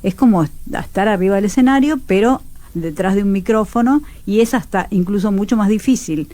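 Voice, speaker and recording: A woman's voice: mid-range voice, fairly quick, warm tone, no echo. A woman with a Rioplatense Argentine accent, speaking conversationally as a radio interview guest and explaining things reflectively. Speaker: radio theatre teacher and announcer, interview guest.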